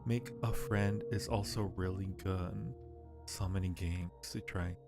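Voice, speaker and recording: A man's voice: low-pitched, steady tone, unhurried, gravelly. A man hosting a live game stream, chatting casually with music playing behind him. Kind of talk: casual live chat while gaming.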